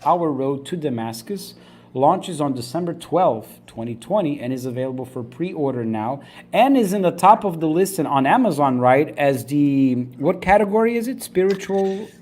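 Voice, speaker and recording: A man's voice: a little high, animated, moderate pace, a little mellow. A man hosting a podcast, introducing his guest and asking questions in an upbeat, enthusiastic, conversational way. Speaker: podcast host. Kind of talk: casual interview conversation.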